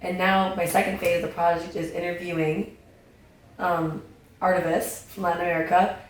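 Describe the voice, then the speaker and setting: A young woman, a podcast guest, chatting casually in a slightly raspy voice, full of fillers and restarts. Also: fairly low pitch, little pitch movement, moderate pace. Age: young adult. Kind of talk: casual chat. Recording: echoey room, distant mic, faint noise.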